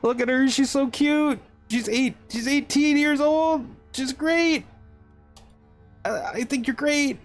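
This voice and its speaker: A man's voice: very high voice, slow, faint music behind. A man commentating casually on a video game he plays, thinking aloud and reading text from the screen. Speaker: gameplay streamer.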